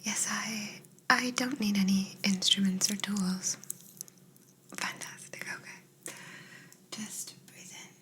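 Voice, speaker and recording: A woman whispering softly and breathily in an ASMR roleplay as a polite, curious, clinical alien examining a human. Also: mid-range voice, steady tone. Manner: slow, polite, clinical, murmuring short reassurances.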